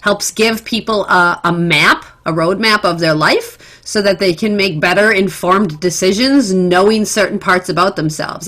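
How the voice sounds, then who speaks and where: Middle-aged woman, podcast host, effusive, bright voice. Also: mid-range voice. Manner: effusive, enthusiastic. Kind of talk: casual conversation.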